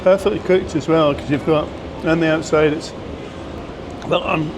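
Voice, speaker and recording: A man's voice: on the high side, fairly even intonation, normal speed. A man hosting a restaurant review vlog, chatting casually and teasing his friends at the table. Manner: teasing, joking banter. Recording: loud street noise behind.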